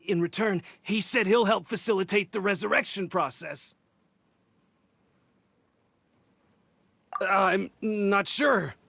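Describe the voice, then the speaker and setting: An actor playing a frightened, cowardly desert bandit in a video game, stammering and pleading. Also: male voice, high voice, measured pace, creaky, a bit bright, breathy edge, dry recording, heavily acted. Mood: nervous confessing.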